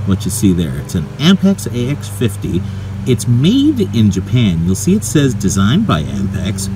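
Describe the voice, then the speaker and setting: A man giving a casual, wry gear tour, thinking aloud with self-questioning asides as a hobbyist vlogger. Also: deep voice. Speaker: vlogger and video transfer hobbyist. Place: outdoors in a backyard, at a patio table. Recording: clear outdoor ambient noise.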